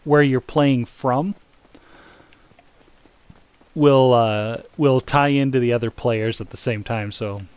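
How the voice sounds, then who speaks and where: A man's voice: mid-range voice, slow, long pauses, darkish tone, clean tone, no echo. An American man chatting casually with a friend while playing an online game, rambling with lots of fillers. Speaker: gamer streaming with a friend.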